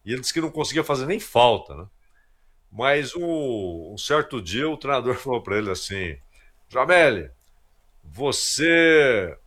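Very animated Brazilian male sports commentator. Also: mid-range voice, normal speed. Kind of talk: casual anecdotal commentary.

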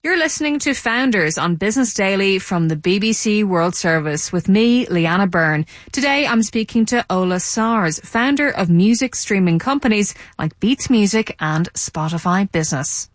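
A woman radio presenter hosting a broadcast interview, asking measured, probing questions. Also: mid-range voice, lively intonation, no echo. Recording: dry studio sound.

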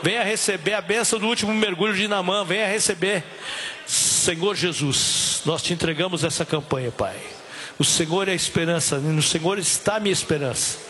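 A middle-aged man, a pastor, leading a church service with fervent, repetitive prayer and call-and-response, his voice hoarse. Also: high-pitched, normal speed, bright, forceful tone, slight background noise. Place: church hall.

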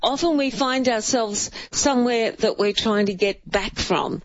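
A woman's voice: on the high side, a little slow, dry recording, muffled recording. A woman co-hosting a radio show, chatty and giggly in casual banter. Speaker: radio co-host.

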